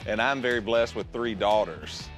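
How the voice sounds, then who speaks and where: A man's voice: on the low side, moderate pace, a bit bright, faint music behind. A man who is a father and an angler, chatting in a good-humored way in an interview. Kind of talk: casual interview.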